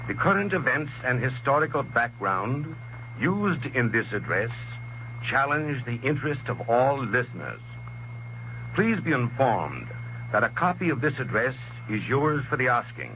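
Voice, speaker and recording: A man's voice: mid-range voice, pushed and bright. A man announcing on 1930s radio, reading scripted promotional copy in a formal, emphatic oratorical way. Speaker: radio announcer and contest manager. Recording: muffled, noisy 1930s radio recording with hum.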